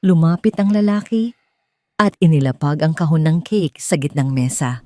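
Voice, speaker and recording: A woman's voice: low voice, expressive, normal speed, darkish tone, no echo. A woman with a Filipino accent narrating a scripted dramatic audio story as a storyteller.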